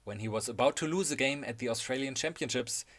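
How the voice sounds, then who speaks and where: A man's voice: medium pitch, fast talker, a bit bright. A man with a German accent narrating a scripted video essay in a wry, enthusiastic way.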